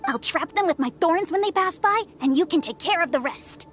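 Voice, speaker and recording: A brisk, scheming little fairy ally voiced by a woman talks at a very high pitch. It is fan-dubbed fantasy game dialogue with faint music behind. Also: lively intonation. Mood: determined.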